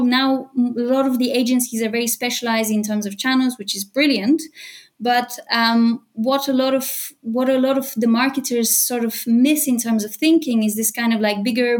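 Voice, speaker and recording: A woman in her thirties, originally from Bulgaria, chatting lively as a podcast guest and laughing often. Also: a little high. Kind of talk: casual interview chat.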